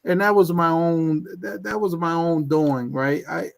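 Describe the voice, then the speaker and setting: A man's voice: on the high side, steady tone, measured pace, creaky. A man talking as a guest in a casual online chat, stammering with many restarts. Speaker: guest IT professional.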